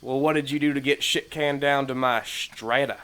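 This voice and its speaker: An actor playing a plain-spoken, blunt working-class sewage worker in an audio drama, sometimes hesitant. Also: male voice, mid-range voice, steady tone, moderate pace, fairly bright tone, smooth, natural voice. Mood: indignant, blunt.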